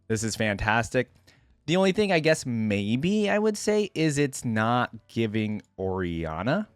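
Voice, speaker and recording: A man's voice: fairly low pitch, no room sound, clean studio sound. A man hosting a reaction video, commenting casually and conversationally on game skins as he thinks aloud.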